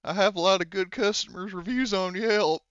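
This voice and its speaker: A man's voice: high-pitched, normal speed, a bit bright, clear, resonant tone, no echo, lo-fi sound, pitched above the performer's own voice. A man voicing a pathetic, cowed henchman prisoner in a tabletop game, answering hesitantly and meekly. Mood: defensive, earnest. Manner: hesitant, meek, stammering.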